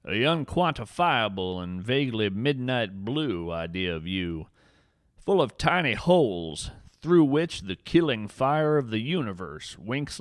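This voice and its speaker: Man acting as folksy old-hand cryptid naturalist, lively swooping pitch, theatrical deliberate storytelling, dry close sound, fiction podcast narrator. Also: dry recording. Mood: solemn, hushed. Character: whimsical veteran male cryptid naturalist, earnest, folksy adventurer.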